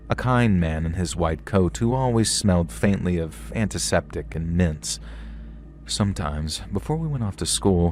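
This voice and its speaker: A man narrating a story in a calm, steady storytelling manner. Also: deep voice, a little hiss or hum. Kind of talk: scripted horror story narration.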